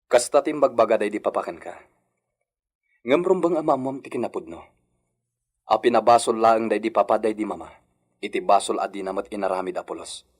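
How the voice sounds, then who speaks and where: An actor playing an earnest, conciliatory brother in a melodramatic Philippine radio drama, speaking in a measured, pleading way. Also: male voice, fairly low pitch, husky. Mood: pained, insistent.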